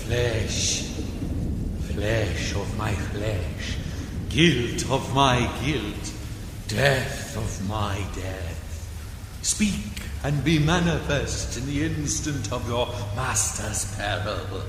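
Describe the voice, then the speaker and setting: An actor playing an ancient vampire count in a radio play, commanding and seductive, with dramatic incantatory delivery. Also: male voice, medium pitch. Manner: incantatory, dramatic, drawn-out phrasing. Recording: echoey reverberant sound with noisy ambience.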